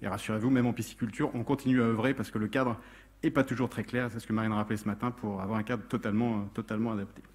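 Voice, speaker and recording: A man presenting technical material at a lectern in a lecture hall, explaining step by step with hesitant pauses and repeated words. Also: lowish voice, little pitch movement, a little mellow. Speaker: conference speaker, technical adviser. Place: indoor lecture hall with seated audience.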